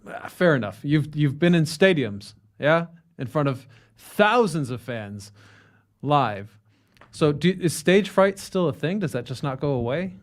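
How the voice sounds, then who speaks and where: A man's voice: a little high, very animated, a little slow. A man hosting a podcast interview, asking questions in a friendly, joking way. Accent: non-native speaker of Polish, foreign. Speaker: podcast host.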